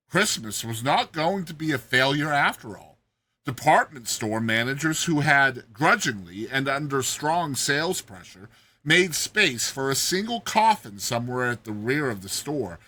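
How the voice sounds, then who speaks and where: A man's voice: on the high side, bright, forceful tone, breathy edge. A man narrating a short story as a dramatized audiobook reading, with theatrical, emphatic delivery.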